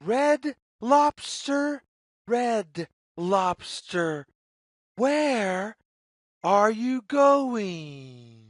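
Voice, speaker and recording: A woman reads a picture story aloud for young children. She goes very slowly, spacing out her phrases, with a lively singsong melody that rises and falls widely. The sound is dry, with no room echo. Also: fairly high pitch. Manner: deliberate, singsong, repetitive phrasing.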